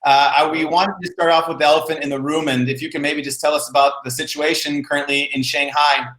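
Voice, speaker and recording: A man's voice: fairly high pitch, flat delivery, fast talker, fairly bright tone. A man hosting an interview show, addressing viewers and his guest. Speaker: show host. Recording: roomy, echoing sound.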